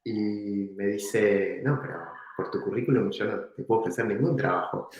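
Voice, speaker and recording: A man tells a story from his past in a relaxed, reflective way, laughing now and then. His voice sits slightly low. The room is very echoey and rings around him. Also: moderate pace, dull audio. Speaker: entrepreneur guest. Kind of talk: casual storytelling in an interview.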